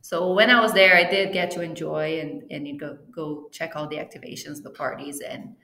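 A woman whose first language is Portuguese chats as an interview guest, slipping in fillers and restarting her sentences. Her voice sits a little low and rings in a noticeably echoey room. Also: steady tone, normal speed, bright, forceful tone. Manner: chatty, with frequent fillers and restarts. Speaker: interview guest, team travel planner. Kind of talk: casual interview chat.

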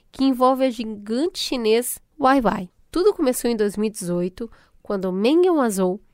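A woman's voice: on the high side, no echo, clean studio sound. A Brazilian woman co-hosting a podcast, reading scripted news segments and chatting playfully with guests. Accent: Brazilian Portuguese. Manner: lively, playful slang. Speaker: podcast co-host. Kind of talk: scripted news narration and casual chat.

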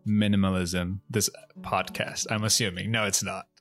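Giggly low-voiced man from Bangladesh. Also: little pitch movement, normal speed, fairly bright tone, faint music behind. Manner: upbeat, giggly, rambling. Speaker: guest comedian. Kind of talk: casual podcast chat over a call. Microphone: remote call.

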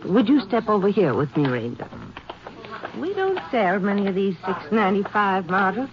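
Actress as a chatty, animated small-town saleswoman. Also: mid-range voice, moderate pace, close to an everyday voice. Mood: chatty, helpful. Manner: chatty, fussy, hesitant when recalling. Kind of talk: 1950s radio drama acting. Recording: noisy, muffled vintage 1950s radio recording.

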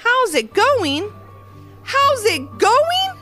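A woman voicing an excitable young boy in a children's story, her voice pushed high and lively. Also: fairly quick, faint music behind. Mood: frantic. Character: young boy, bickering brother who draws comics.

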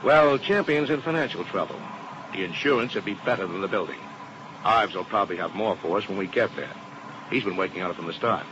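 An actor playing an earnest, uneasy insurance agent in a 1950s radio drama, heard on a muffled, hissy old broadcast. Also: male voice, sits low, expressive, a little fast. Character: worried male insurance agent, earnest partner.

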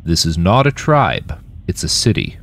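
A man narrating plays a stern post-human driver, proud of his rolling city. His low voice is firm and explanatory, very lively in pitch, over faint noise in a fiction podcast. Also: normal speed, full character voice.

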